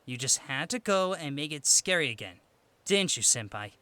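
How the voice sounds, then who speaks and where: A man playing a cheeky, impulsive teenage boy swordsman in an anime-style audio drama, bantering expressively and quick to protest. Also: fairly high pitch, very animated, pushed and bright. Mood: teasing, exasperated.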